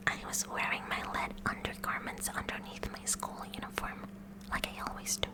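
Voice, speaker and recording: A woman's voice: close to an everyday voice, low-quality recording. A woman playing a kooky, eager teenage science club president in a whispered ASMR roleplay, with nerdy formal wording and a nervous stammer.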